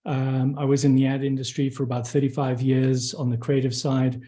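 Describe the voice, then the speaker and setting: A man's voice: mid-range voice, little pitch movement, a little fast, dark tone. A middle-aged man with a South African accent, introducing himself as a guest on an online panel. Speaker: photographer guest. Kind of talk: self-introduction in a panel talk.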